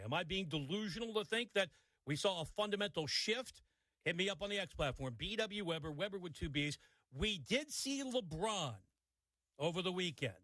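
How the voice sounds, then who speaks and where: A man's voice: fairly high pitch, bright, forceful tone, no echo. An older man hosting a sports talk radio show, giving an opinionated monologue with wry asides. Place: radio studio.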